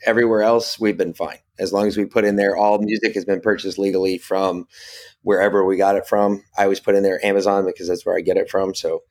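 A middle-aged man talking casually as a podcast guest, animated and sweary as he tells stories. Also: deep voice, fast.

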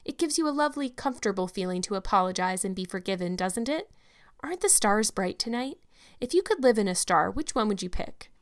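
A woman's voice: mid-range voice, lively intonation, brisk, close to an everyday voice. A woman voicing an imaginative, talkative young orphan girl in a storybook reading, effusive and earnest. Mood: dreamy.

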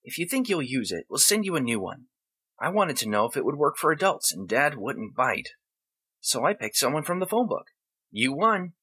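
A man's voice: mid-range voice, sing-song, moderate pace, sharp tone, breathy edge, voice pushed up, heavily acted. A man voicing a bossy, casual young boy in a story reading, talking in slangy, matter-of-fact phrases. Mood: reassuring, brisk.

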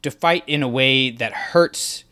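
A man's voice: medium pitch, a little slow, pushed and bright. A man co-hosting a podcast, talking through a fan analysis in an emphatic way and acting out imagined reactions. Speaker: podcast co-host.

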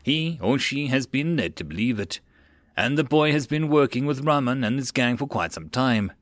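A man voicing a measured intelligence officer in an audiobook, giving a formal, explanatory report. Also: medium pitch, expressive, no room sound, clean studio sound, lightly acted.

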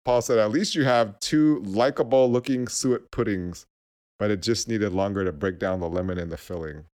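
A man's voice: lowish voice, moderate pace, darkish tone. A man co-hosting a podcast, recapping a show in casual chat and laughing easily. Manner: laughs easily, reads out summaries. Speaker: podcast co-host.

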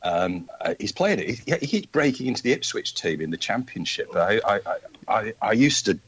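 A man's voice: fairly low pitch, big pitch swings, moderate pace, fairly bright tone, husky. An older man with a Manchester accent, telling rambling stories as a guest football fan on a casual chat show. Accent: Mancunian. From Manchester, England. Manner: rambling anecdotal storyteller.

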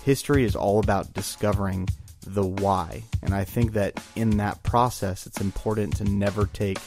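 Lower-pitched man talking over loud drums. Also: steady tone, normal speed, music in the background.

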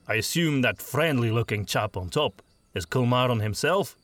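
A man's voice: medium pitch, animated, normal speed, fairly bright tone, close to an everyday voice. A man voicing a sycophantic male companion with a wry, joking manner.

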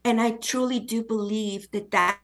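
A woman's voice: fairly high pitch, steady tone, moderate pace, a bit bright. A woman with a foreign accent, a guest author speaking earnestly in a conversational interview, often acting out what others say. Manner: earnest, acts out quoted voices.

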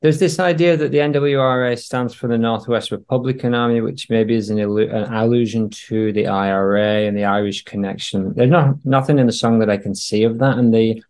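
A man's voice: medium pitch, fairly even intonation, a little fast, hardly any pauses, darkish tone. A man in a casual podcast discussion, explaining his points in an assertive, wry way. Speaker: podcast panelist.

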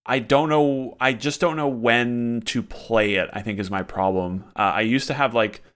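A man's voice: mid-range voice, normal speed, fairly bright tone, smooth. A man co-hosting a casual podcast about games, talking in a hesitant way with many restarts. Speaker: podcast co-host. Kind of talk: casual chat.

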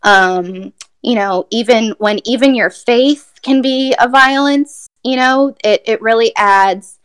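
A woman's voice: a little high, takes it slowly, fairly bright tone, clear, resonant tone. A woman poet from Brazil giving a reflective, thoughtful interview answer, with many fillers and restarts.

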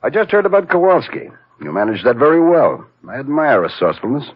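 An actor playing a commanding, shady racketeer with a husky voice and theatrical manner in a 1940s radio drama. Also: male voice, mid-range voice, huge pitch swings, quick pace. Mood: smooth, proud. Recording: dull, muffled old radio recording.

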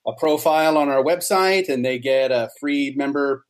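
A man's voice: mid-range voice, normal speed, slight background noise. A man talking as an interview guest, explaining things in a casual conversational way. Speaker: interview guest, society co-founder.

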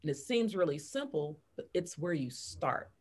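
A middle-aged woman with a low, deep voice, a guest on a chat podcast who walks through steps in an upbeat, instructive way. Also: animated, normal speed, darkish tone. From Fresno, California. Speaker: podcast guest, business author. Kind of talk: casual interview chat.

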